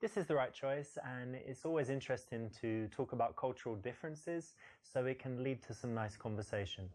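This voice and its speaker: A man narrating as a dating coach in a game, explaining advice in a measured, instructive way. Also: medium pitch, darkish tone. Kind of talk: instructional voice-over.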